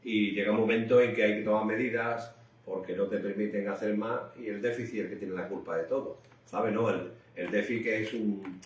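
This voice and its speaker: A man in unscripted radio discussion, haltingly repeating phrases as he reasons. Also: on the low side, fairly even intonation, distant mic. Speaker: radio co-host discussing current affairs. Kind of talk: unscripted conversational political discussion. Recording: very echoey room, off-mic, faint noise.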